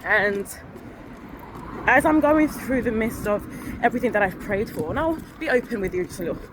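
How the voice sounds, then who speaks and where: A woman's voice: on the high side, expressive, moderate pace. A woman chatting candidly to her phone while walking outdoors, rambling and correcting herself with small laughs. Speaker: vlogger. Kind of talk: casual vlog chat to a phone while walking. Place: outdoors on a city street. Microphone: phone held up to her face. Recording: outdoor phone recording with street noise. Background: traffic and street ambience.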